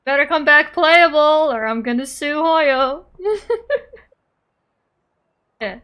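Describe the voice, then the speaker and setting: High-voiced woman streaming a game. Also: slow, bright, forceful tone. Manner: playful, joking. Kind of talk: casual live gameplay commentary.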